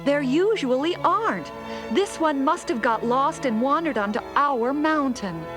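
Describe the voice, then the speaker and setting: A woman's voice: high-pitched, lively intonation, unhurried. A woman playing a worried, polite ski lodge manager in a children's read-along story. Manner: fretful, pleading. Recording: faint music on old storybook cassette.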